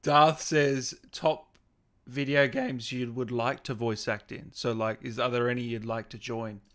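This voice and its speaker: A man's voice: medium pitch, steady tone, unhurried, a bit bright. A man hosting a casual livestream interview, reading out viewer questions and reacting informally with exclamations.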